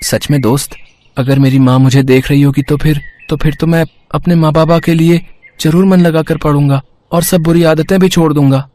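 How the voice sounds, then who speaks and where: Storybook schoolboy, emotional, heartfelt, voiced by a man, higher voice. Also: steady tone, normal speed, mellow, close to an everyday voice. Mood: sad earnest. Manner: brash, then heartfelt. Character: schoolboy Vivek, troubled then earnest.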